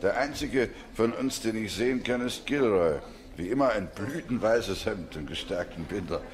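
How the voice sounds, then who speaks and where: A man's voice: on the low side, animated, normal speed, close to an everyday voice. An actor playing a grumbling male colleague in a German radio play. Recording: busy crowd murmur behind.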